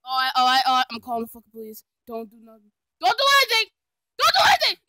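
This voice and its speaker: Casting: panicky, sweary cartoon cat , amateur-acted, extremely high and swooping, noisy homemade recording. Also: wildly swooping pitch, racing. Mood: panicked. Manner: shouting, swearing, exasperated. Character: excitable, panicky, foul-mouthed cartoon cat. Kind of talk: improvised comic cartoon fandub.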